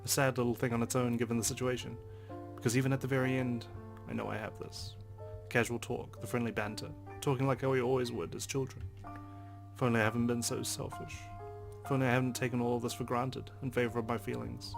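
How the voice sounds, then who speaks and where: Male let's player, mid-pitched, dramatic narration of on-screen text with sweary reactions, music clearly behind. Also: little pitch movement, fast talker. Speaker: let's play host. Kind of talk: dramatic reading aloud of game text, with reactions.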